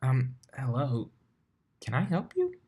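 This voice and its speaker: A man's voice: medium pitch, huge pitch swings, slow pace, darkish tone, slightly rough, voice pushed up, exaggerated voice. A man voicing a young, bookish female unicorn who sounds inquisitive and flustered in a cartoon fan story. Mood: wary.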